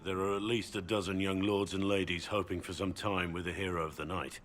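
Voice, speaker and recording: Brooding middle-aged warrior for a fantasy game, very low-pitched, earnest, measured, some noise behind. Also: male voice, level tone, a bit bright. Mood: gentle. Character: brooding middle-aged bearded male warrior, solemn and devoted. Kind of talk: video game voice acting.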